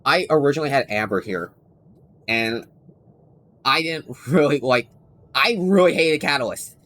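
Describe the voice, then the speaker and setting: A man chatting casually to viewers on a game livestream, rambling and halting as he doubles back on his sentences. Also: mid-range voice, lively intonation, a bit bright, slight background noise. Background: game music.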